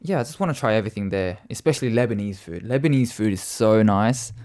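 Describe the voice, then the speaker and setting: A man's voice: lowish voice, lively intonation, fast, darkish tone. Laid-back man with mild Australian accent. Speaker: radio show guest. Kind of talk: casual chat.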